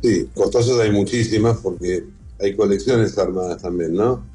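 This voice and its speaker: A man's voice: on the low side, normal speed, mellow, husky, soft background music. A middle-aged man with an Argentine accent, answering conversationally as the guest in an interview. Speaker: interview guest, whisky museum founder and collector.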